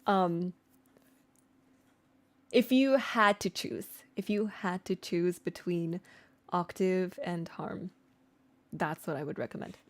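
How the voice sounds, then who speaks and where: A woman's voice: lowish voice, takes it slowly, pauses often. A woman streamer and singer giving an informal, chatty vocal tutorial, with slangy asides and replies to viewers. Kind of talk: casual livestream tutorial with chat replies.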